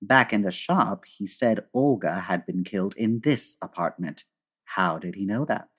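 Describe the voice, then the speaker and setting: An actor playing a witty, unflappable gentleman sleuth in a comedy audio drama, talking in a suave, wry, old radio detective manner. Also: low voice, animated, a little slow, husky, heavily acted. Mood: calm, explanatory.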